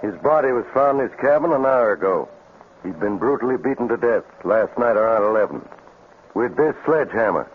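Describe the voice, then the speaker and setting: Actor as a folksy sheriff, tinny old radio. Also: male voice, on the low side, lively intonation, a little hiss or hum, natural voice. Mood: stern. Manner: folksy, deliberate. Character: small-town sheriff, plain-spoken, firm lawman. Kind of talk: 1950s radio drama acting. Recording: thin, phone-like vintage radio recording.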